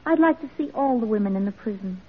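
A woman's voice: a little high, animated, fast talker, slightly rough, phone-quality sound. An actress playing a genteel, compassionate, determined lady reformer in an old-time radio drama, earnest and insistent. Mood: firm, insistent. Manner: earnest, insistent, well-mannered. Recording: thin vintage radio recording.

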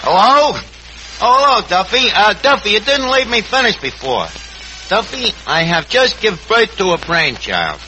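A man's voice: high-pitched, very animated, unhurried. An actor playing a brash, scheming New York tavern manager who mangles his words pompously in a 1940s radio comedy. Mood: eager, wheedling. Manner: pompous malapropisms and slangy grammar. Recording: noisy old 1940s radio recording.

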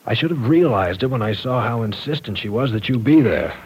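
An actor playing an earnest, apologetic university professor in an old-time radio drama, formal and courteous yet insistent. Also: male voice, medium pitch, expressive, a little fast, slightly rough, poor audio.

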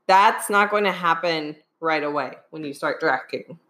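Young adult woman, fitness coach, slightly low voice, hoarse from a sinus infection, checks in with "okay?" all the time. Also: moderate pace, pushed and bright. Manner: keeps asking "okay?". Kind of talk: live-streamed advice talk.

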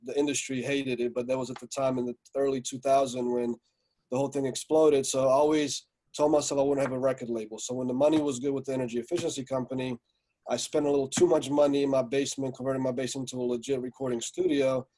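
A man in his forties who grew up in Slovakia talks through his story as a podcast guest. His voice is mellow and dark, and his delivery stays flat and even. He rambles easily, with plenty of fillers. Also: medium pitch, fast. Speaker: guest, music entrepreneur. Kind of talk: casual podcast interview.

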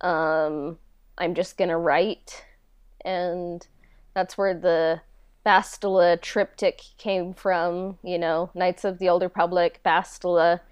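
A woman's voice: on the low side, flat delivery, takes it slowly, clean tone, no echo, studio quality. A woman speaking in a casual interview, informal and hesitant, with a self-deprecating manner. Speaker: podcast host and audio drama writer being interviewed.

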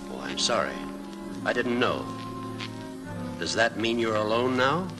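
A man's voice: on the low side, sing-song, unhurried. A man playing a polite, admiring, slightly awkward fellow in a 1940s radio drama. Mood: sympathetic. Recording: vintage radio recording, music behind.

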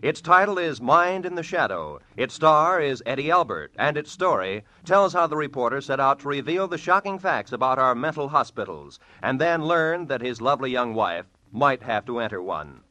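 An American man announcing on network radio in formal, measured, scripted 1940s style. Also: medium pitch, big pitch swings, sharp tone. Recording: vintage 1940s radio broadcast with music.

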